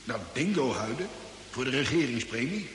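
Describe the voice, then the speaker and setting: An actor playing a hospitable, rough bushman who hunts dingoes, talking in a hearty, folksy way in a Dutch radio drama. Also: medium pitch, lively intonation, moderate pace, fairly bright tone, natural voice. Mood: relaxed, matter-of-fact. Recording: echoing hall, faint hiss.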